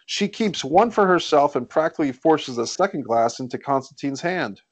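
A man's voice: on the high side, lively intonation, normal speed. A man reading stage directions as scripted narration at a screenplay table read.

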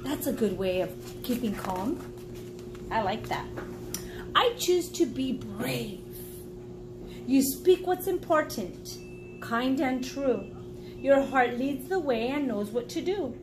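A woman in her forties reading a children's book aloud like a teacher, encouraging and pausing to explain and ask the listener questions. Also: high voice, expressive, background noise. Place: small indoor room at home.

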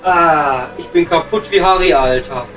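An actor playing a weary, thirsty coal miner in a pub comedy audio play, grumbling in matey slang with a Ruhr German accent. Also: male voice, a little high, moderate pace, fairly bright tone, lightly acted, rough recording. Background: music.

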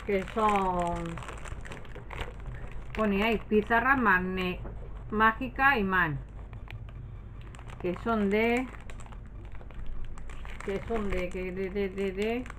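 A woman's voice: mid-range voice, very slow, pauses often, a bit bright, slight background noise, rough recording. A woman with an accent from Spain, chatting casually and rambling as she shows her purchases, often trailing off and correcting herself. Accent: Spanish from Spain. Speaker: craft haul vlogger. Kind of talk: casual chat, showing purchases to camera.

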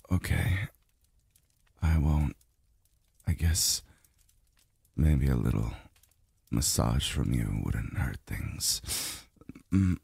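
An extremely low, husky, breathy male voice, put on by a man for an intimate ASMR roleplay as a tired, tender immortal lover. He murmurs very slowly and haltingly, with soft sighs. It is close and dry on the mic. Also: no echo, heavily acted. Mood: relaxing, yielding. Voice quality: very deep, gravelly, breathy. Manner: halting, murmured, sighing delivery. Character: weary, tender adult male immortal being soothed by a lover. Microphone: close dry microphone.

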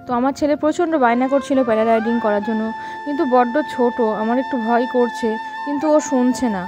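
A woman's voice: on the high side, steady tone, quick pace. A woman in her thirties vlogging a family trip, talking casually to her phone camera held at arm's length. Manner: chatty, tired and complaining in the morning. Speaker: travel vlogger. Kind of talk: casual travel vlog narration. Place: hotel room, then outdoors on a trip. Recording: background music under voice. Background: music.

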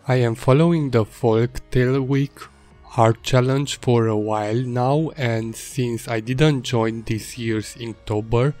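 A man whose first language is Hungarian, calmly narrating his illustration process as a voice-over. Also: mid-range voice, slow pace. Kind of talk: voice-over narration of a drawing video. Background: faint music.

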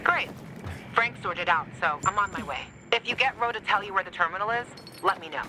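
A woman's voice: mid-range voice, animated, brisk, natural voice. An actress playing a tough, vengeful young woman fighter in a video game, with a sardonic manner. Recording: clear game noise behind.

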